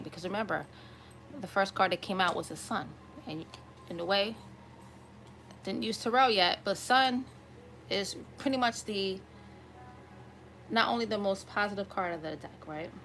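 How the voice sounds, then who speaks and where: A woman with a slightly raspy voice giving an improvised tarot reading to viewers, conversational and often checking in with listeners. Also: medium pitch, a bit bright, slight background noise, rough recording. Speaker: tarot reader hosting an online collective reading.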